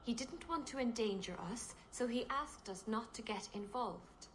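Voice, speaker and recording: A reserved fantasy game clanswoman voiced by a woman, somewhat high in pitch, with faint ambience behind her. She speaks formally and with measured care, guarded and protective of her people. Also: slight background noise, natural voice. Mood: guarded, cool. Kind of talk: video game character acting.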